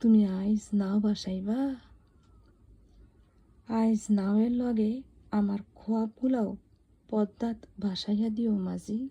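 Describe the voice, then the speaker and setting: A woman with a rural eastern Bangladeshi accent recites poetry in a soft, husky, wistful and intimate, pleading way. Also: mid-range voice, fairly even intonation, unhurried, long pauses, rough recording. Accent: rural eastern Bangladeshi dialect. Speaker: poet reciting own poem. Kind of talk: dramatic poetry recitation in dialect.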